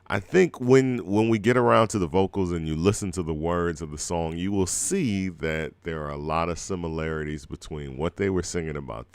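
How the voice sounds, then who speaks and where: A man's voice: low-pitched, clean tone, no room sound. A middle-aged man hosting a music show in a rambling, laid-back chat, his throat scratchy from a cold. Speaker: radio show host. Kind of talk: casual live show chat.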